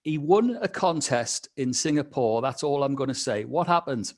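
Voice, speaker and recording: Man hosting a podcast, mid pitch, eager, lots of fillers. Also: lively intonation, moderate pace. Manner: enthusiastic, with frequent fillers. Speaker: podcast host. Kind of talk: casual interview hosting.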